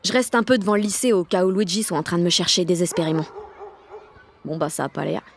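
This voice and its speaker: A woman's voice: on the low side, fast talker, natural voice. An actress playing a brash, lovestruck sixteen-year-old girl, narrating an audio drama in sarcastic, dramatic, slangy speech. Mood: scheming, wry. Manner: slangy, foul-mouthed, self-mocking. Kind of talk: first-person audio fiction narration with acted dialogue.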